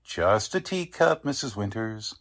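A man's voice: on the low side, sing-song, measured pace, a bit bright, full character voice. A man playing a courteous, self-assured small-town doctor in 1940s radio drama style, genial and a little patronising.

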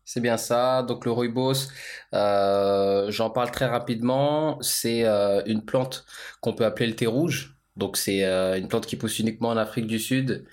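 A man co-hosting a podcast about his brand, explaining things in a calm way with frequent fillers. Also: fairly low pitch, measured pace. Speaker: podcast co-host, brand founder. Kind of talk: casual conversational podcast.

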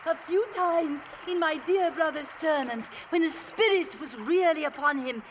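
An actress playing a prim, devout, strong-willed middle-aged spinster with formal, genteel diction in a 1950s radio drama. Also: female voice, high voice, moderate pace, highs cut off, lightly acted. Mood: exhilarated. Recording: noisy 1950s radio recording.

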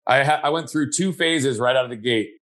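An earnest man speaking reflectively in a conversational interview. He talks at an average pitch and keeps checking in with the listener. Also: fairly quick, fairly bright tone. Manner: earnest, reflective, frequent "right?" tags. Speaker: guest.

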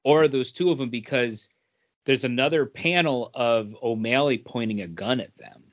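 Mid-pitched man explaining, slightly dull audio. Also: normal speed. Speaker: comic book podcast co-host. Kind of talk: casual book discussion chat.

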